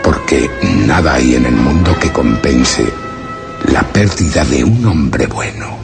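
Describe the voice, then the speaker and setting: A man's voice: extremely low pitch, expressive, slow, over music, full character voice. An actor playing a solemn, wondering explorer who narrates his journal in a radio drama, grave and theatrical. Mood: grave, resolved. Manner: grave, literary, theatrical, with rhetorical questions. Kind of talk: Spanish radio drama suspense monologue.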